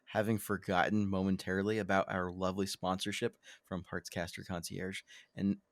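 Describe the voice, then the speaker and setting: A man's voice: low-pitched, level tone, moderate pace, no room sound, studio quality. A man co-hosting a podcast in casual chat, rambling and self-deprecating, with many ums and restarts. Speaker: podcast co-host.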